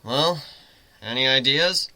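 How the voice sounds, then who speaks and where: A man's voice: medium pitch, takes it slowly, pushed and bright, no room sound, poor audio. A man voicing a brash, sarcastic young male griffin who leads with blunt, sardonic remarks. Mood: dumbfounded.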